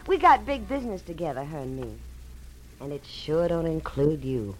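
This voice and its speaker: An actress playing a cool, sassy gun moll with a Southern US accent and mock-sweet drawl in a 1940s radio drama. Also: female voice, deep voice, very animated, normal speed, soft background music, low-quality recording. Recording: vintage radio drama recording.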